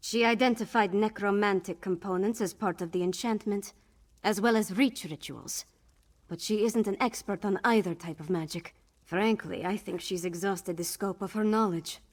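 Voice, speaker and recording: A woman playing a brisk, determined young noblewoman in a fantasy video game, speaking frankly and in a businesslike way. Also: medium pitch, natural voice. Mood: concerned. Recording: game music behind the voice.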